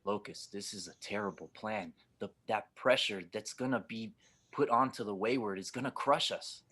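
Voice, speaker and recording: A man playing a gruff, skeptical, world-weary spaceship pilot in an audio drama, blunt and sardonic. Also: fairly low pitch, normal speed, bright, forceful tone. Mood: grudging, tense. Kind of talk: audio drama table read.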